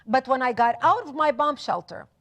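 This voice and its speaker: A woman's voice: high-pitched, lively intonation, fairly quick, fairly bright tone. A woman from Lebanon, speaking as a guest in a TV studio interview, with passionate, emphatic lists. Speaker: guest author.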